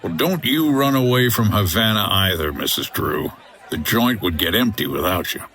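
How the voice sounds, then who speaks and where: Gruff hardboiled boat captain type guy acting it, deep-ish, expressive, short on patience, old-time detective radio play. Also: normal speed. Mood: hard, questioning. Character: gruff, no-nonsense male hotel owner and boat pilot turned sleuth. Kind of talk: comedy noir radio drama acting.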